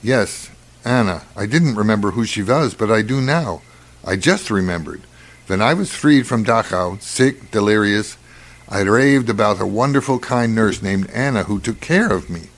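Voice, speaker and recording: A man acting the role of a suspicious, brooding doctor on a space crew, deliberate and ominous in radio drama style. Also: fairly low pitch, lively intonation. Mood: haunted. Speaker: actor in amateur radio play.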